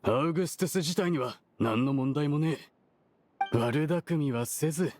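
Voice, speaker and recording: Man playing a high-voiced, brash, shifty merchant. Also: normal speed, a little mellow, soft background music, lightly acted. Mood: defensive, persuasive. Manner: rough, argumentative casual speech. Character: brash, shifty adult male perfume merchant and schemer. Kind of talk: Japanese anime game dubbing.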